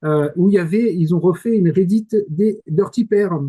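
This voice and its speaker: A man with a fairly high, soft-edged and mellow voice. He talks casually on an anime panel as an on-location correspondent, halting as he goes but keen on his subject. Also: slightly rough. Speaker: correspondent in Japan.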